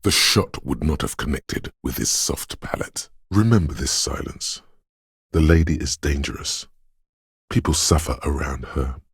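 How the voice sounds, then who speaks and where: Very deep, husky, brooding inner voice. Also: male voice, lively intonation, dry recording, clean studio sound, full character voice. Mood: somber, foreboding. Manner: ominous, poetic, deliberate delivery. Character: brooding inner voice of a troubled detective's intuition, eerie and melancholic. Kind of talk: video game voice acting, second-person dramatic narration.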